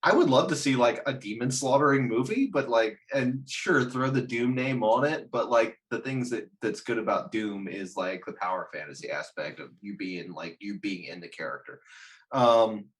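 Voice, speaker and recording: A man in casual panel chat, jokey and halting, swearing casually as he thinks aloud. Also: mid-range voice. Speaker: podcast panelist.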